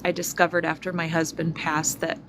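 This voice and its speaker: A woman in her forties speaking slowly and reflectively to her viewers, pausing often with fillers. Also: sits low, monotone, background noise. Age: 40s. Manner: slow, reflective, many fillers. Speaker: spiritual vlogger. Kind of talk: personal testimony to viewers.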